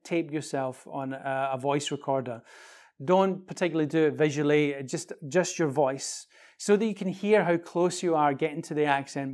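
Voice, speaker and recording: A man in his forties giving explanatory advice to camera as an acting coach, stressing key words. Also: a little high. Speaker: acting coach answering questions on his show. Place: small bright indoor office.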